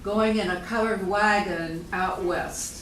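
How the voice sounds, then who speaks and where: A woman's voice: mid-range voice, steady tone, takes it slowly, fairly bright tone. A woman asking questions in a casual family interview. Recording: distant mic, echoey noisy room.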